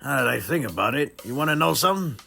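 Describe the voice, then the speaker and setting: A man's voice: mid-range voice, animated, fast, vocal fry, bright, forceful tone, soft background music, heavily acted. A man playing an ancient, loyal temple guardian in an audio drama, speaking earnestly and formally with casual touches. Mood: grateful, explanatory. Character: ancient male temple guardian freed from a tomb, loyal oath-keeper.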